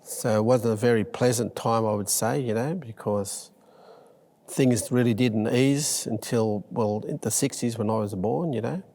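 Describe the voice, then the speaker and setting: A man's voice: medium pitch, measured pace, dark tone. An Australian man in his sixties talking reflectively in an oral history interview. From La Perouse, Sydney, Australia. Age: 60s.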